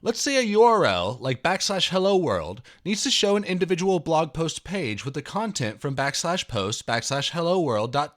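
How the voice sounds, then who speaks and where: A man in his thirties presenting a programming tutorial, mixing expressive, emphatic reading aloud with casual walkthrough narration. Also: fairly high pitch. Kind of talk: dramatic reading of article mixed with casual screencast walkthrough.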